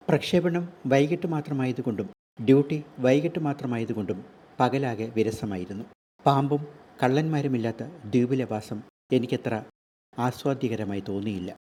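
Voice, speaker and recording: Older man recalling radio years, measured. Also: medium pitch, a little mellow. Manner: measured, nostalgic storytelling. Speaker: retired radio broadcaster. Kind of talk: reflective memoir talk.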